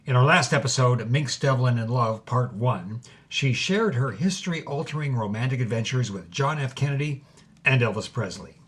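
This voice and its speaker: An actor playing the host of a dramatic documentary series, delivering a scripted, grand introduction. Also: male voice, mid-range voice, lively intonation, normal speed, fairly bright tone, close to an everyday voice. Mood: grand, announcing.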